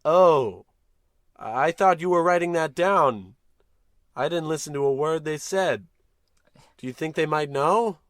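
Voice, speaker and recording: An actor playing a pompous, dim-witted, bossy American spaceship captain in a comedic old-style radio play. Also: male voice, on the high side, measured pace, pauses often, fairly bright tone, dry recording, clean studio sound. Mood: clueless, bossy. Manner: pompous, bossy, self-important.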